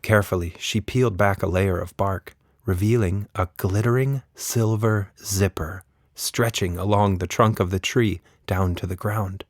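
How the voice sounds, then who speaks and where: A man's voice: deep voice, steady tone, a little slow, no room sound. A man narrating a scripted children's story as the storyteller.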